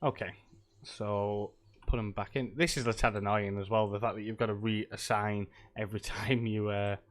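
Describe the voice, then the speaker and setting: Stuffy, low-voiced streamer man. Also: steady tone, moderate pace. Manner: rambling, chatty, laughs often, many ums. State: stuffy from a cold. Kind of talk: casual live-stream chat while playing.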